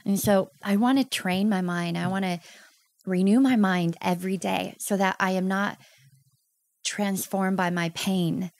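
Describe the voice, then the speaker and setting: Gravelly-voiced woman in her thirties, heartfelt. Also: medium pitch, steady tone, measured pace, a little mellow, no room sound. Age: 30s. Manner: earnest, heartfelt storytelling with emotional emphasis. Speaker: podcast guest. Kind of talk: candid personal interview.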